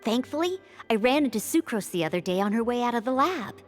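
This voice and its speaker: A woman acting a dutiful young maid, high and lively pitch, earnest, polite, eager, video game dialogue. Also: quick pace, natural voice. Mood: eager, modest. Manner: earnest, courteous, deferential. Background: faint music.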